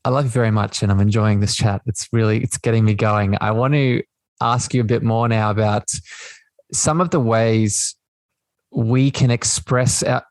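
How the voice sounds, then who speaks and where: A man's voice: lowish voice, moderate pace, no room sound. A man hosting a conversational interview podcast, explaining things earnestly and at length, repeating phrases for emphasis. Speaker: podcast host.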